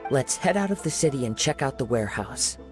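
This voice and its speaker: A man voicing a calm young wandering samurai in a video game, speaking in a measured, thoughtful way. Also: medium pitch, music in the background, close to an everyday voice. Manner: measured, thoughtful and soft-spoken. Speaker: investigating hero.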